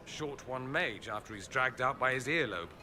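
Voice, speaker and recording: An actor playing a witty, vain aristocratic mage in a fantasy game, with a wry, sardonic flourish. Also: male voice, medium pitch, expressive, normal speed, bright, forceful tone, faint music behind. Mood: amused, wry. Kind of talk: fantasy video game dialogue acting.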